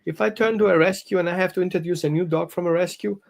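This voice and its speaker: A man's voice: high-pitched, fairly even intonation, quick pace, creaky. A man, a dog behavior consultant explaining on a live show with phrasing and quoted examples. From Greece and Austria.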